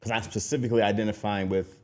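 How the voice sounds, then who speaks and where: A man's voice: lowish voice, fairly even intonation, a little mellow. A man co-hosting a podcast, chatting casually, teasing and mimicking how others speak. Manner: teasing, laughing, quick to imitate others. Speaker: podcast co-host. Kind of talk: casual chat.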